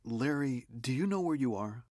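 A man playing a calm, probing psychiatric doctor in a radio drama, measured and dryly questioning. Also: medium pitch, over music, lightly acted. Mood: probing, wry.

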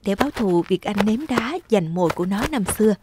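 A woman's voice: mid-range voice, lively intonation, brisk, background noise. Woman narrating a folktale over music.

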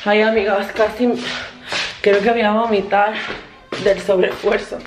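A woman's voice: medium pitch, little pitch movement, unhurried, echoey room, noisy background. Playful, self-mocking young woman vlogger. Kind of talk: comedic parody vlog. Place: small apartment kitchen and bedroom. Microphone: handheld camera at arm's length.

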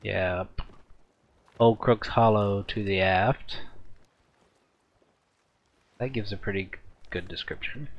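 A man's voice: lowish voice, slow, long pauses, highs cut off. A man chatting casually and playfully with a friend while playing a video game. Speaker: let's play gamer. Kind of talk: casual gaming chat with a friend. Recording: steady game ambience noise behind voice. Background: game sea ambience.

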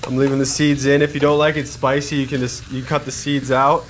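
A man in his thirties hosting a cooking demonstration in a studio kitchen, chatty and energetic, talking straight to the viewers. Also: mid-range voice, brisk, background noise. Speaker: cooking show host. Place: home-style studio kitchen, indoors. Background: background music, sizzling pan.

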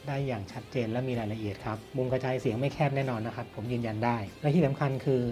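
A man's voice: medium pitch, fairly even intonation, quick pace, mellow, slight background noise. A man presents an audio product review in an explanatory way, posing questions and answering them himself. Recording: music playing behind the voice. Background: background music.

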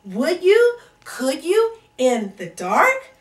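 A woman's voice: high voice, huge pitch swings, drawn-out words, full character voice. A woman voicing a cheerful, persistent storybook pest who coaxes and keeps asking questions. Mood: coaxing. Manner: coaxing, questioning. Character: persistent cheerful pest pushing food on someone. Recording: echoey room, distant microphone.

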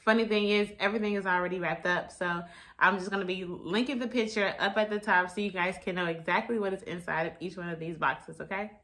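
A young woman chatting casually and informally to camera, her voice a little raspy and tired. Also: mid-range voice, steady tone, fast, fairly bright tone. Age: young adult. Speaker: vlogger. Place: small room at home, indoors.

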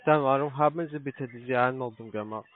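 A man with a medium-pitched voice speaks non-native, accented German in a formal, insistent sales manner. The line is dull with faint noise. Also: a little fast. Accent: foreign, non-native German. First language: not German. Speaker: call centre account operator. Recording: dull, noisy phone line with clicks.

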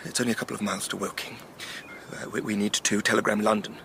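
Anxious, imaginative Victorian gentleman for radio drama; rhetorical, creaky voice over clear background noise. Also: fairly low pitch, level tone, fast. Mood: alarmed, pleading. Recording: radio play with noisy effects behind.

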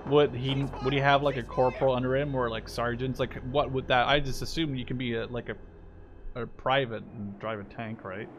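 A man's voice: medium pitch, steady tone, a little fast. A man in his twenties giving casual, sweary let's-play commentary, musing aloud as he plays. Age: 20s. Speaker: gamer commentator. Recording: game battle noise behind voice. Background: game gunfire and vehicles.

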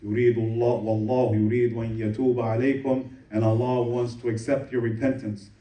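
A man giving a Friday sermon as imam, reciting Arabic verses quickly and translating them into English. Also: mid-range voice, little pitch movement, dark tone. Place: mosque prayer hall. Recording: echoey room, voice from a distance.

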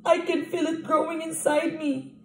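A woman voicing an excitable, fretful crocodile from a picture book, with dramatic, drawn-out exclamations. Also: very high voice, a little hiss or hum. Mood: panicked. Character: excitable, fretful watermelon-loving young male crocodile. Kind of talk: picture-book character reading. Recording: echoey room recording.

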